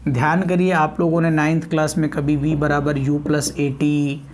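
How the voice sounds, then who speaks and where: A man's voice: a little high, flat delivery, normal speed, a little mellow, a little hiss or hum. A man lecturing as a physics teacher, repeating key terms, asking rhetorical questions and mixing in English technical words. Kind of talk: exam coaching lecture with everyday examples.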